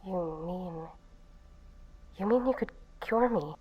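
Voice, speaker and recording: Woman acting a slow-spoken, tender sweetheart. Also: fairly low pitch, husky, dull audio. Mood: hopeful disbelief. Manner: tender, teasing. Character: sick, trusting, playfully affectionate woman, scientist's sweetheart. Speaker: voice actor. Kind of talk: intimate audio drama dialogue.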